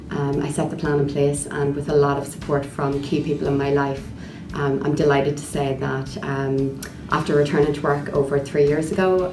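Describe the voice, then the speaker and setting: A woman with a very low, flat voice speaks calmly and composedly. Her voice echoes in a roomy space. Steady background noise sits behind her. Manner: composed, with filler ums. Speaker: associate director. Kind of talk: personal story in an interview.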